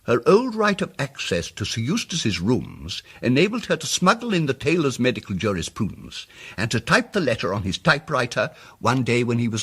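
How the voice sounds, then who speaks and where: A fussy muddled polite detective in a radio play, husky voice, really swoopy intonation. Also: male voice, medium pitch, fairly bright tone. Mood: hesitant, earnest. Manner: hesitant, fussy, precise, old-fashioned politeness. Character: mild, muddled, apologetic male amateur detective explaining his deductions. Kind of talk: radio drama dialogue.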